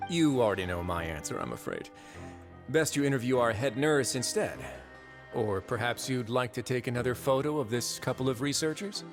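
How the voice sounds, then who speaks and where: An actor playing a composed, courteous duke of a prison fortress in a video game, speaking in a polished, measured way with understated irony. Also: male voice, medium pitch, expressive, over music, natural voice. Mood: calm, dry.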